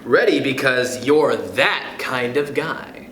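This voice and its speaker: A man reading a children's picture book aloud in a playful, expressive way, chuckling between passages, then speaking to young listeners himself. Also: mid-range voice, slow pace, a little hiss or hum. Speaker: youth club storyteller. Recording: echoey room.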